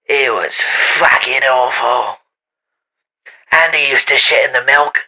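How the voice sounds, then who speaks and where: A man playing a bitter, indignant former cafe worker with a British accent, loud and gossipy, in a comedy sketch. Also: medium pitch, slow, vocal fry, heavily acted.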